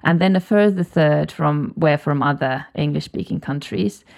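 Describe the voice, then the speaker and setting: Gravelly-voiced Finnish-speaking interviewer. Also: mid-range voice, moderate pace, a little mellow. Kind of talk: interview questions.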